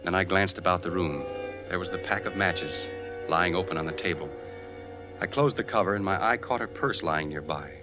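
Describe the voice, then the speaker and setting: An actor playing a troubled, suspicious husband in a 1940s radio suspense drama, grave and deliberate as he narrates and questions. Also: low voice, music in the background, close to an everyday voice, low-quality recording. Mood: grave, tense.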